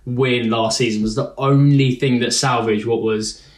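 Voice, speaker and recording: A man co-hosting a podcast, talking in a slightly halting, self-deprecating way. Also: medium pitch, fairly even intonation. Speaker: podcast co-host. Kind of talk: casual chat. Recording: roomy echo.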